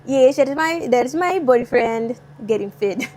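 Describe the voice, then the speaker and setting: Young Californian woman, bubbly and high-pitched, live-stream cooking host chatting to viewers from her kitchen, faint room hum behind her. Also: animated, normal speed, a little mellow. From Southern California. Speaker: vlogger cooking live and answering chat. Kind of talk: casual live-stream chat. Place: home kitchen.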